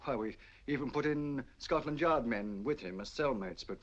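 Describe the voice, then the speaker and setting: An actor playing a brisk, matter-of-fact police inspector in a 1940s film. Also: male voice, medium pitch, close to an everyday voice. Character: brisk male police inspector, middle-aged. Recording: dull lo-fi 1940s film soundtrack.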